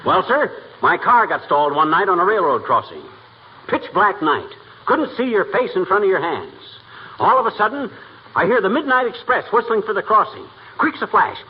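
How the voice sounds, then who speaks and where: An actor playing a blustering, boastful middle-aged husband who spins folksy tall tales in a 1940s radio comedy. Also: male voice, high voice, sing-song, normal speed. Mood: dramatic bragging. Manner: folksy, bragging, theatrical. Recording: dull, noisy 1940s radio recording.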